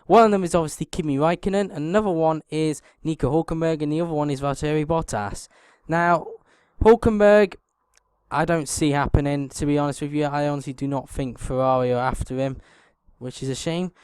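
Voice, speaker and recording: A young man with a sore throat and a croaky voice, hosting his own channel and chatting casually to viewers. He keeps clearing his throat and fills pauses with ums. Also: a little high, a little mellow, no room sound. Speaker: YouTube racing channel host.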